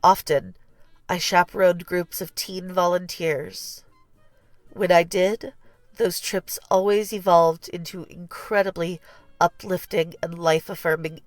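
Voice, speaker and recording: Storybook narrator, female, slow and deliberate delivery, lower-leaning pitch, music bed underneath. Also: no echo. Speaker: story reader. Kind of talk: scripted read-aloud narration of a children's picture book.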